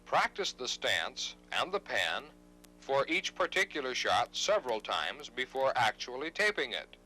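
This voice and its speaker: Male instructional narrator. Scripted, formal, precise delivery, animated pitch, crisp forward brightness. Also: measured pace, faint music behind, poor audio. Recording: 1970s instructional videotape narration.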